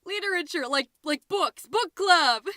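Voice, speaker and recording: Woman acting as scatterbrained suburban mom, comedy podcast host, high-pitched, dry close sound, rambling, theatrical emphasis. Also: animated, measured pace, sharp tone, dry recording, exaggerated voice. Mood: grandly excited. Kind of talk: comedic character monologue as a homemade podcast.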